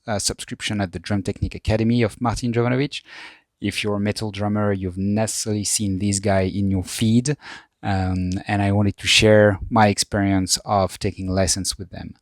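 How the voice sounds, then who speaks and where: A man's voice: on the low side, level tone, moderate pace, no room sound. A man in his thirties sharing feedback as a former student, talking with frequent ums and restarts. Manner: hesitant, many ums and restarts. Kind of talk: casual testimonial.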